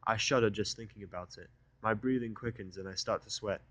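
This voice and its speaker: A man's voice: fairly low pitch, a little fast, fairly bright tone, no room sound. A man narrating first-person fiction with a sardonic, irritable delivery. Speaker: audiobook narrator.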